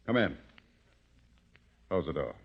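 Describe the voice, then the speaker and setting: An actor in 1940s radio style playing a ruthless, blustering gangster boss, commanding and excitable. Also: male voice, extremely low pitch, slow, vocal fry, noisy background, full character voice. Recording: muffled old radio drama recording.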